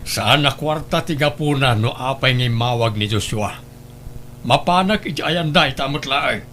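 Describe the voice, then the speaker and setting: A man's voice: mid-range voice, moderate pace, sharp tone, slight background noise, close to an everyday voice. A man playing a worried middle-aged father in a radio drama, urgent and defensive. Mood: firm, defensive. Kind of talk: Ilocano radio drama acting.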